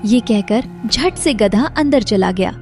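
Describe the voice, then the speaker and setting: Story narrator: woman, fairly high pitch, scripted delivery over soft music. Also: animated, unhurried.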